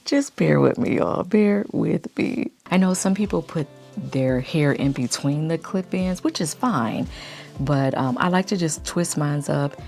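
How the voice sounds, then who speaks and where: A woman vlogger chatting casually in a deep morning voice, keeping it low and quiet. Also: unhurried, vocal fry, faint music behind. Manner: speaking low to stay quiet. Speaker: lifestyle YouTube vlogger. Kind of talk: casual chit-chat vlog.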